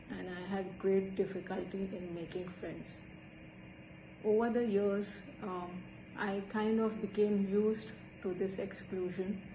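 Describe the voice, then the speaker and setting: A woman in her thirties from India with an Indian accent, earnestly telling her own childhood story to a laptop camera. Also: mid-range voice. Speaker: student presenter. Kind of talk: personal storytelling. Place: plain room indoors. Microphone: laptop camera at desk. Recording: echoey room with clear hiss.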